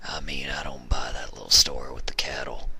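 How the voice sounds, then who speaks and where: A grizzled old male outlaw pony from a western storybook. His voice is very low and drops into a hushed, whispery, gravelly mumble. He is languid and quietly probing, with music behind him. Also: steady tone, a little fast, exaggerated voice. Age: older.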